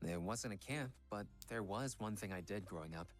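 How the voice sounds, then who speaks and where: A man voicing a gentle, earnest young pop idol in a visual novel game, soft-spoken and a little hesitant. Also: fairly low pitch, moderate pace, soft background music, natural voice. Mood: wistful, nostalgic. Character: gentle young male Korean pop idol, devoted fiancé.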